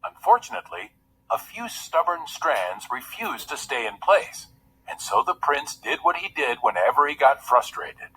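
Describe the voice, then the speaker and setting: A man's voice: a little high, sing-song, normal speed, pushed and bright, a little hiss or hum, poor audio. A man reading a children's story aloud as its narrator, in a scripted storytelling style.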